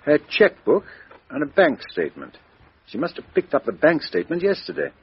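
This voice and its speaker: Old radio: a businesslike police inspector, husky mid voice, muffled broadcast. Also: male voice, a little high, huge pitch swings, brisk, exaggerated voice. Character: brisk middle-aged male police inspector. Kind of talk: 1950s radio drama acting.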